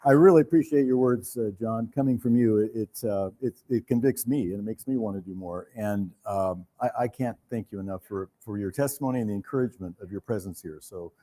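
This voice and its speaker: A man hosting a live benefit evening, speaking to an audience in a measured, halting and courteous way. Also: on the low side, warm tone. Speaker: host of a theater benefit evening. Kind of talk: live fundraising appeal to an audience.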